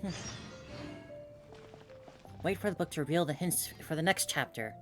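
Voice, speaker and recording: A woman's voice: sits low, expressive, normal speed. A woman giving casual game playthrough commentary, musing to herself in murmured reactions. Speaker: game streamer. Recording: clear game music behind voice. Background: game music.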